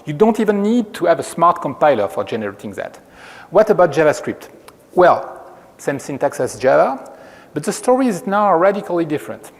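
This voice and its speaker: A man from France with a French accent, giving a measured technical lecture as a researcher at a conference. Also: on the high side, big pitch swings. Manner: measured, explanatory, frequent restarts. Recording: long reverb of a hall.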